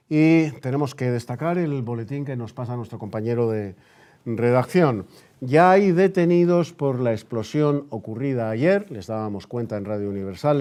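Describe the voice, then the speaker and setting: A man's voice: medium pitch, mellow. A man with an accent from Spain, hosting on radio in the manner of a news presenter. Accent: Spanish, from Spain. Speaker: radio host. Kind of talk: period radio news bulletin reading, then studio interview.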